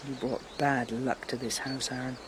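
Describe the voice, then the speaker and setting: A man's voice: medium pitch, level tone, moderate pace, close to an everyday voice. A man playing a blunt, resentful cook, faint noise. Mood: bitter. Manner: blunt, rustic, insolent. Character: blunt, resentful household cook, scornful of kings. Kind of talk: radio drama acting. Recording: outdoor nature sounds behind voice.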